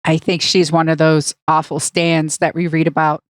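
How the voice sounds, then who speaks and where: A woman's voice: deep voice, level tone, moderate pace, dry recording, studio quality. A woman co-hosting a podcast, giving wry, opinionated takes in a casual review chat. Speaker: podcast co-host. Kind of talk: casual review discussion of a cartoon.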